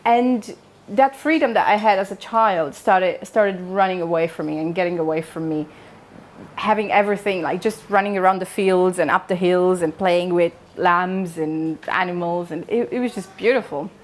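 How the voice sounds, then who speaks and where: Romanian-accented woman telling her personal story. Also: lowish voice. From Transylvania, Romania. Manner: conversational, joking asides, questions to listeners. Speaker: speaker at a wellness talk. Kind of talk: informal public talk, personal storytelling.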